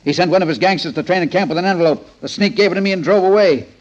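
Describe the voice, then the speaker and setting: Man acting a quick-talking fatherly boxing trainer. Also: sits high, natural voice. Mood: indignant. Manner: pleading, coaxing, earnest. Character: anxious, fatherly older boxing trainer called Pop. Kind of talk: 1940s radio acting. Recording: muffled old 1940s radio recording.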